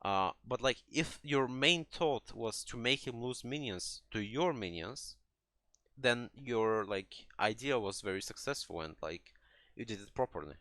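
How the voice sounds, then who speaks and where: Bright-voiced male gaming coach. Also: medium pitch, lively intonation, a little slow, no echo. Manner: hesitant phrasing with many restarts, checking understanding with "right?". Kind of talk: replay analysis coaching, explaining conversationally.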